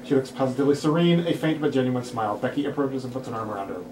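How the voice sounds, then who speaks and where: A man's voice: medium pitch, very fast. A man reading screenplay stage directions aloud at a table read. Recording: echoey, noisy room, distant mic.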